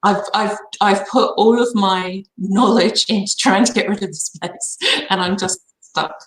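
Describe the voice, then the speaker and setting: A woman's voice: medium pitch, steady tone, measured pace. A woman speaking casually as a guest on a livestream. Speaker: guest having a reading. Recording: roomy, echoing call audio.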